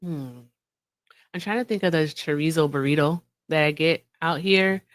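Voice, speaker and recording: Chatty woman livestreamer, low voice, dry sound. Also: moderate pace, dry recording. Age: 30s. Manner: chatty, laughs often, addresses viewers as y'all. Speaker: livestream host. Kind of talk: casual livestream chat.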